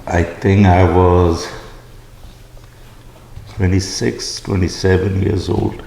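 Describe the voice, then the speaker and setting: Slow, mellow man in echoey room. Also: low voice, level tone, creaky. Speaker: interviewee. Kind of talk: brief, casual interview answers. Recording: echoey room with faint noise.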